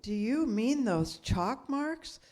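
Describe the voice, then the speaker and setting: A woman's voice: a little high, lively intonation, takes it slowly, a little mellow. A woman playing a concerned, loyal lady companion to a sleuth, earnest and questioning, in 1930s radio style. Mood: concerned, curious. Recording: echoey hall, long reverb.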